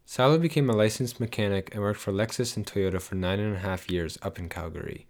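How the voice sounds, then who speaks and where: A man telling a life story in scripted narration, with brisk summaries. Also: lowish voice.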